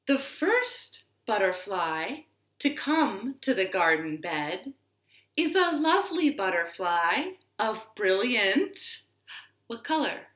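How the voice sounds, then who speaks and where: A woman reading stories and rhymes aloud to young children in an expressive, deliberate way, recorded in a living room. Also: medium pitch. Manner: expressive, deliberate, asks the listeners questions. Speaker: children's librarian storyteller. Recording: roomy, echoing living-room sound.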